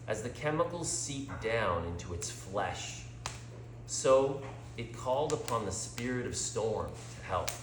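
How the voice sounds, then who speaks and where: A man reading a story aloud as a storyteller, with deliberate, theatrical dramatic delivery. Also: lowish voice, noisy background. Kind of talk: scripted story narration, dramatic read-aloud. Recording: roomy, echoing sound.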